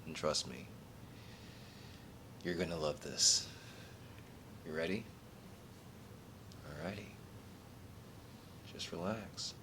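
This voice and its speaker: A man with a very low voice speaks slowly over a clearly noisy, rumbling recording. He performs a soft-spoken ASMR role play as a calm recruiter for a secret order, reassuring the listener in short, paused phrases.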